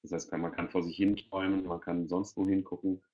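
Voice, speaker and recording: A man's voice: low voice, flat delivery, fairly quick, mellow. A middle-aged man giving casual, spontaneous explanations as a panellist in an online discussion over a headset. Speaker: expert panellist. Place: indoor room, video call. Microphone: headset on video call. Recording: dull, lo-fi video-call audio.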